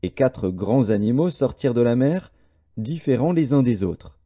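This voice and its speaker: A man narrating a scripted Bible audiobook in a solemn, measured, formal way. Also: medium pitch, expressive, warm tone.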